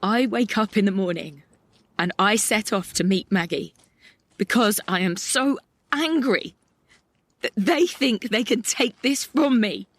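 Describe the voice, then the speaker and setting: A woman's voice: medium pitch, no echo, lightly acted. A woman playing a melancholic, angry young idealist in a poetic audio drama monologue. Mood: angry, impassioned. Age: young adult. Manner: lyrical, reflective, deliberate. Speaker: actor in an audio play.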